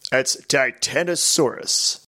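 A man's voice: medium pitch, slow pace, bright, forceful tone. A man hosting a casual podcast, wry and teasing, who also reads out the show details. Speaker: podcast host.

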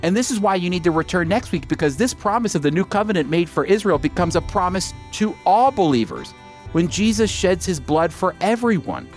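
A man teaching from scripture in an earnest, emphatic way, slowing down to stress key phrases. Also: high voice, sing-song, soft background music. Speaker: Bible teacher.

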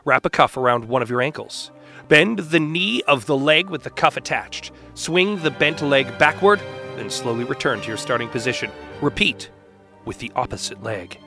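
A man's voice: medium pitch, lively intonation, moderate pace. A man reading scripted instructional text aloud as a staged dramatic reading. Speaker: announcer and reader. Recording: music behind the voice.

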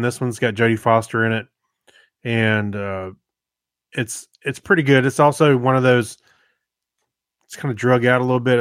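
A male podcast co-host with a mid-pitched voice, talking casually and off the cuff. His delivery is laid-back and a little halting as he rambles, and the sound is very dry. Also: dry recording. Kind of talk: casual, unscripted podcast chat.

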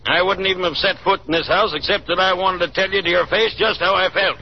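A man's voice: high-pitched, fairly even intonation, fast talker, creaky, slight background noise. An actor playing a stern, scornful elderly businessman in a 1930s radio drama, blustering and sarcastic. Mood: cold, indignant. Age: older. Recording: muffled 1930s radio broadcast recording.

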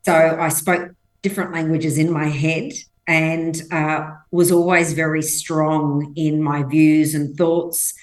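A woman's voice: low voice, level tone, slow, hardly any pauses. A woman whose first language isn't English, telling personal stories emphatically as an interview guest and acting out quotes. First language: not English. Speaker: podcast guest. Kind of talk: conversational interview storytelling.

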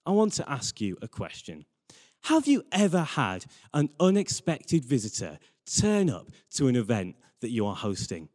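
A man's voice: mid-range voice, huge pitch swings, normal speed, a little mellow. A young British man giving an earnest, conversational sermon as a church preacher. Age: young adult. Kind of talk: sermon with Bible reading. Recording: long hall reverb.

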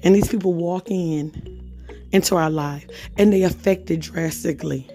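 A hoarse woman hosts a faith podcast in a relaxed, chatty way. Her voice sits fairly low and sounds mellow and dark. She reads scripture aloud between loose, conversational asides, with faint music underneath. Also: normal speed. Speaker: podcast host. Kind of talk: casual devotional podcast talk.

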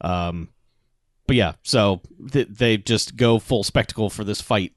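A man's voice: fairly low pitch, measured pace, dry recording, studio quality. A man co-hosting a casual podcast, swearing freely and laughing as he animatedly retells a movie's plot. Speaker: podcast co-host. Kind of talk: casual chat about a movie.

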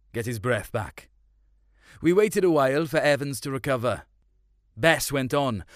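A man's voice: medium pitch, no room sound. Man reading a story, close and dry. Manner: colloquial, confiding storytelling. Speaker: short story reader. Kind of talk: dramatic first-person story narration in colloquial voice.

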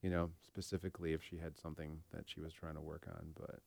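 A man's voice: extremely low pitch, little pitch movement, fast talker, vocal fry, a little mellow, dry recording, studio quality. A man co-hosting a podcast in a casual, informal way, thinking aloud with some hesitation. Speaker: podcast co-host. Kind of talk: casual chat.

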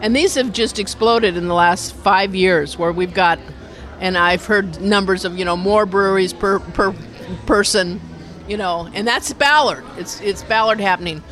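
A community radio organizer being interviewed in a casual way, explaining things in an animated, rambling manner. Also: medium pitch, moderate pace, soft background music. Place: inside a brewery restaurant.

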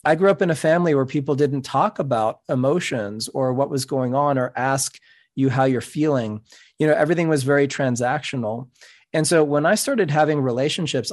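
A middle-aged man whose first language is Spanish, speaking as a podcast guest in an earnest, measured, explanatory way. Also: mid-range voice, darkish tone. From New York City. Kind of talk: reflective interview talk.

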